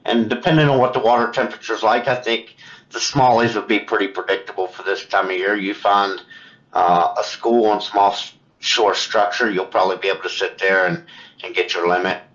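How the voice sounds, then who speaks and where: Low-voiced man on lo-fi call. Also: fairly even intonation, fairly quick, fairly bright tone. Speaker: podcast guest, tournament angler. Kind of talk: casual interview, giving fishing forecast. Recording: dull, lo-fi remote call.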